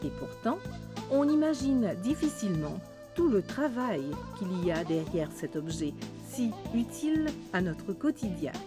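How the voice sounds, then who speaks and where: A woman giving measured, polished scripted narration for a documentary. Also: medium pitch, very animated. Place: voice-over studio. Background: music.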